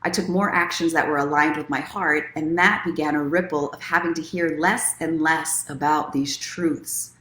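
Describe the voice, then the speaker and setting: Low-voiced woman in an echoey room. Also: fairly even intonation, normal speed, hardly any pauses, fairly bright tone, slight background noise. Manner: encouraging, conversational, self-help tone. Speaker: host of a daily online story time. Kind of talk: expressive reading aloud from own book, mixed with casual livestream chat.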